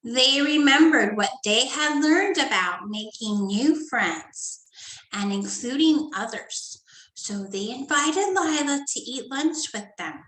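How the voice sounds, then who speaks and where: A woman teacher reading a story to young children and going over a study guide, talking gently and checking in with "okay?" often. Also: high-pitched, lively intonation, takes it slowly, roomy sound, poor audio. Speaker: first grade teacher.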